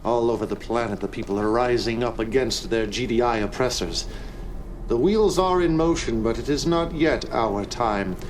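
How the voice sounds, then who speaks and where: An actor playing a zealous, commanding prophet of a militant cult in a video game cutscene, speaking in a measured, theatrical way over music. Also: male voice, medium pitch, a little hiss or hum, close to an everyday voice. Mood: grand, ominous.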